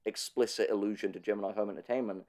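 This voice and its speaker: A man's voice: lowish voice, steady tone, fast talker, darkish tone. A man in a conversational analytical discussion, speaking thoughtfully with hesitant, stammering starts as a podcast host.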